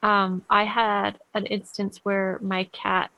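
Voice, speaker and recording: A woman telling a personal story in a casual conversation, in a reflective way and with soft laughs. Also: mid-range voice, level tone, slow, vocal fry, highs cut off.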